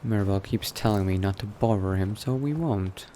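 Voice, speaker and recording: A man playing a newly turned vampire in a game, thinking aloud in character in a musing, unhurried manner with trailing phrases. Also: fairly low pitch, warm tone. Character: newly turned vampire exploring new powers, musing adventurer. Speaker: roleplaying game player. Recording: noticeable background noise.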